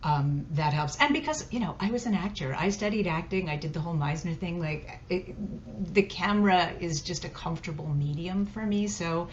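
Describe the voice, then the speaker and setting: American woman, middle-aged, lowish pitch, conversational podcast guest, muffled sound with background noise. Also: moderate pace, hardly any pauses. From suburbs of Philadelphia, United States. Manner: chatty, often tags statements with "right?". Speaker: podcast guest, dating coach. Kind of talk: casual conversational interview. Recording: muffled, clearly noisy connection.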